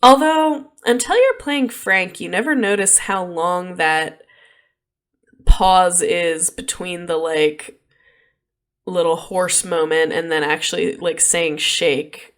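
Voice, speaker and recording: A woman co-hosting a podcast in casual chat, husky and a bit sick, chatty and opinionated, laughing often. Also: fairly low pitch, slow pace, fairly bright tone. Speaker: podcast co-host.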